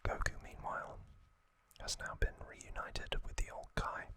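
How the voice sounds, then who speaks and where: A man whispering gently in an ASMR style, hosting with frequent pauses, close to the microphone. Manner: slow, gentle delivery with pauses. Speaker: host of an ASMR trivia channel.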